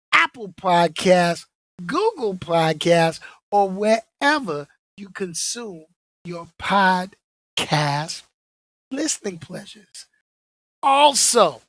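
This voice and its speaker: A man hosting his podcast in casual chat, his voice hoarse from being under the weather, joking and repeating himself. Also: high-pitched, a bit bright, dry recording. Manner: laid-back, repeats himself, joking asides. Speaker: podcast host.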